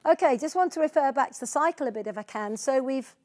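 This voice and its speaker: A woman's voice: sits high, animated, quick pace, darkish tone. A woman hosting an online sales training, speaking in an encouraging, conversational and informal way. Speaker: host and trainer of sales team meeting. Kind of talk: informal training presentation over video call.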